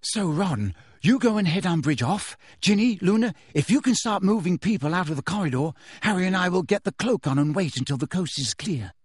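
Clever teen witch girl, put on by a male narrator, brisk, higher voice, dry sound. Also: expressive, husky, no echo. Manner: brisk, hushed and urgent.

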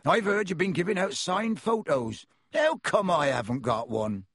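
A man voicing a big, gruff, kindly half-giant gamekeeper who growls in a rustic dialect. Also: high-pitched, very animated, moderate pace, a bit bright, no room sound, studio quality, voice pushed up, heavily acted.

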